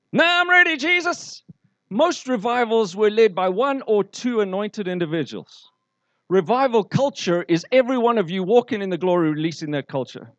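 Male pastor, slightly high voice, storytelling sermon, muffled, dry recording. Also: dry recording. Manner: storytelling preacher, asks the congregation questions.

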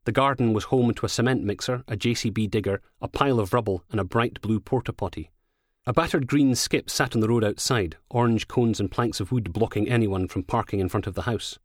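Scottish guy reading a crime novel, lowish voice, really dry studio sound. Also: fairly quick, no room sound. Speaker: author reading own audiobook. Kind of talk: scripted crime novel narration.

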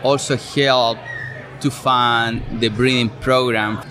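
A man's voice: medium pitch, fairly even intonation, slow pace, sharp tone, soft background music. A middle-aged man from Chile with a Chilean Spanish accent, a fruit specialist explaining his work in an interview with frequent fillers and restarts. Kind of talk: relaxed interview answers. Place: outdoor farm show event.

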